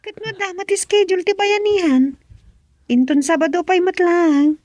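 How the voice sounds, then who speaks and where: A woman's voice: very high voice, steady tone, normal speed, darkish tone, no room sound. A woman with an Ilocano Filipino accent acting as an inquisitive, nagging young village daughter in a radio serial. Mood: scolding, questioning.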